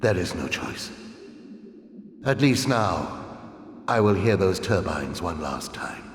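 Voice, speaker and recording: An actor playing a weary, dying male engineer of an otherworldly race in a video game, speaking in a halting, solemn way with mournful nobility. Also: sits low, expressive. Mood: resigned, noble. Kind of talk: video game cutscene acting. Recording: echoey hall with long reverb.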